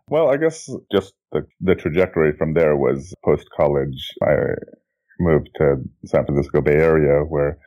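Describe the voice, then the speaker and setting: A middle-aged man from Indonesia, a guest telling his life story in a relaxed, reflective way, often restarting his sentences and chuckling. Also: rumbling low, measured pace. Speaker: guest, children's book author and graphic designer. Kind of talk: relaxed interview conversation. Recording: dull lo-fi audio.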